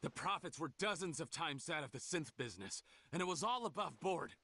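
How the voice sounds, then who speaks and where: Bitter, defiant male game villain, theatrical, sharp and highly expressive. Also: brisk, a little hiss or hum. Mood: defiant, indignant. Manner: theatrical, scornful, indignant.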